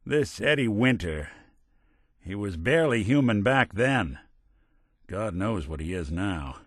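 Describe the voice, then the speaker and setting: Casting: weary American robot private eye for a game, lowish voice, lively swings in intonation, wry and principled. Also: male voice, unhurried, no room sound, studio quality. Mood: grim storytelling. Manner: wry, streetwise, old-time gumshoe delivery. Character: world-weary, wisecracking robot private detective, hardboiled and principled. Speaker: video game voice actor. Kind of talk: scripted game dialogue in a hardboiled noir detective manner.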